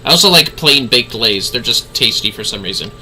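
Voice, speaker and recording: A man's voice: mid-range voice, animated, brisk, pushed and bright, slight background noise. A man hosting a gaming livestream with casual, easygoing, chatty talk to his viewers. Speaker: livestreaming gamer host.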